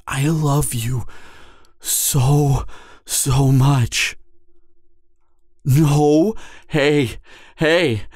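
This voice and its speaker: A man's voice: fairly high pitch, a little mellow, no echo, heavily acted. A man playing a devoted hunter boyfriend in an emotional audio roleplay, urgent and stammering, repeating himself as he pleads with his wounded lover. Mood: tender, firm.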